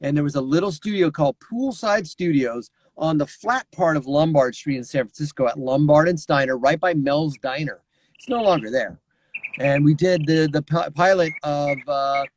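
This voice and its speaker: A middle-aged man, a voice actor, telling lively anecdotes over a remote call and slipping into character voices. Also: a little high, moderate pace, slight background noise. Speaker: voice actor guest. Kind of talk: animated anecdotal storytelling.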